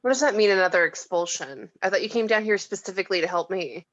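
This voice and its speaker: A woman's voice: mid-range voice, fast talker, close to an everyday voice. A woman playing a skeptical, exasperated mortal in a script table read, with a sarcastic manner. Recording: dull lo-fi home mic.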